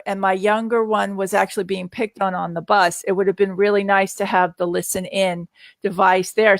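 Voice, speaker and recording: A woman's voice: mid-range voice, vocal fry, no echo. A middle-aged woman answering questions in a casual live Q&A, speaking in an earnest, reassuring way. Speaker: guest answering viewer questions.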